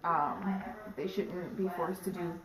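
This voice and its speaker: A woman's voice: lowish voice, fairly even intonation, slow pace. A hesitant woman giving an informal interview answer, echoey and off the mic. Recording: echoey, distant mic, crowd behind.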